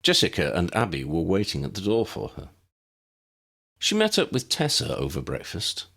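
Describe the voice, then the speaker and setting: Male audiobook narrator, very animated intonation, low pitch, creaky edge. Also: normal speed. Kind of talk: scripted fan fiction audiobook narration, storytelling.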